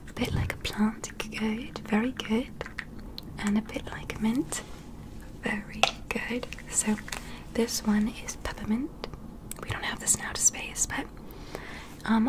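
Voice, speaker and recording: A woman whispering an ASMR roleplay as a gentle, curious alien medic, giving soothing, encouraging step-by-step instructions. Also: a little high, poor audio. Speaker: ASMR performer playing a medical examiner.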